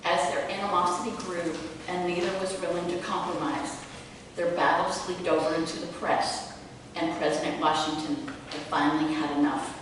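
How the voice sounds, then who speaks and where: Woman narrator, lower register, scripted live introduction; very reverberant, distant room with clear noise. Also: flat delivery, moderate pace. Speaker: narrator introducing a dramatic reading. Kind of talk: scripted narration read aloud. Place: museum room, live community theatre event. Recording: echoey hall from far off, noisy.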